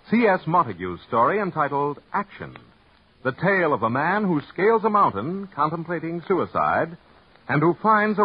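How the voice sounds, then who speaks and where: A man delivers network promos in polished vintage-radio style, his somewhat high, husky voice rising and falling. The recording is dull and muffled, like an archival broadcast. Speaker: radio announcer. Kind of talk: 1950s network radio announcement.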